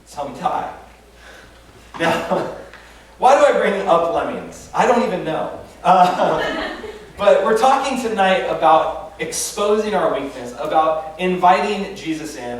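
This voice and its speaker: A man's voice: a little high, far from the mic, slight background noise. Chatty male pastor in an echoey room. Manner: conversational, chatty with fillers and self-deprecating humor. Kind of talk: casual sermon at a retreat.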